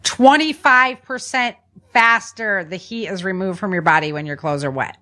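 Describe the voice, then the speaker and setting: A woman's voice: mid-range voice, normal speed, bright, forceful tone. Woman podcast host giving informal survival advice. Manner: informal, instructional, often seeks agreement with "right?". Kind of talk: conversational survival advice from notes.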